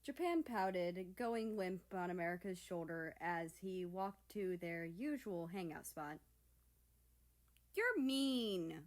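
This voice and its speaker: A woman reading a story aloud as narrator in an expressive, scripted style. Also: on the low side, unhurried, few pauses. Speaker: fan fiction reader.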